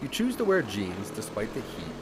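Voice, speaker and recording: Low-voiced man narrating with animated swings. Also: moderate pace, vocal fry, heavy background noise. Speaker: narrator of a branching audio drama. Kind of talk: scripted second-person story narration.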